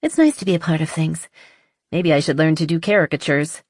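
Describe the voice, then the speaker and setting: A spirited woman artist voiced by an audiobook narrator, talking in a frank, animated, playful way. She is chatty and a little stubborn. The recording is dry and intimate. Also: sits low, fairly quick, no echo. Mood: playful, wry. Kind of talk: audiobook character dialogue.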